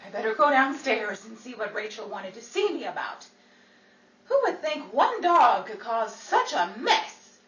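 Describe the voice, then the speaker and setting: A woman plays a witty Hollywood actress in a vintage 1940s radio comedy. Her high voice swoops theatrically through wry, exasperated lines. It sounds far from the mic, with noise and crowd sound behind. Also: big pitch swings, measured pace, fairly bright tone. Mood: lively, matter-of-fact. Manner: wisecracking, theatrical, dry comebacks. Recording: roomy, distant, noisy, crowd sound behind.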